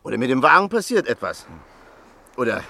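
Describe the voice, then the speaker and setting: A man's voice: medium pitch, sing-song, fairly quick, gravelly, bright, forceful tone, exaggerated voice. An actor in a radio play voicing a stocky, pushy, jovial American neighbor in his fifties who talks insistently and chuckles.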